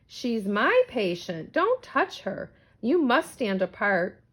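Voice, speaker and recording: A woman's voice: fairly high pitch, big pitch swings, slow, a bit bright, breathy edge, exaggerated voice. A woman voicing a bossy, self-important male doctor in a children's rhyming story.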